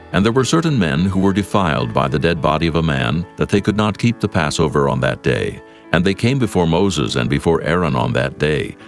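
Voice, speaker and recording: Very deep, gravelly male scripture narrator. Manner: measured, formal. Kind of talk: solemn scripted Bible narration. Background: music.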